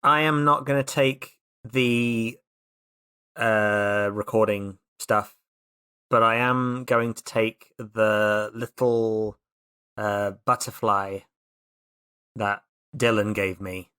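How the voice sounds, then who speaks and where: A man playing a tabletop roleplay, casually narrating his character's actions in a halting, playful way. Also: on the low side, steady tone, pushed and bright, clean tone. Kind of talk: improvised first-person game narration, casual.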